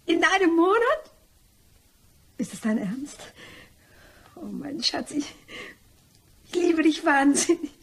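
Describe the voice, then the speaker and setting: A woman's voice: very high voice, animated, slow, long pauses, full character voice. A woman playing a nervous, elegant young mademoiselle in a crime radio play, emotional and polite but condescending. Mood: relieved, elated.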